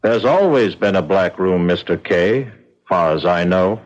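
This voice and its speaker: An American actor in an old-time radio drama, playing a cold, unhurried, sinister captor. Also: male voice, deep voice, animated. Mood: grimly detached. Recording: muffled vintage radio drama recording.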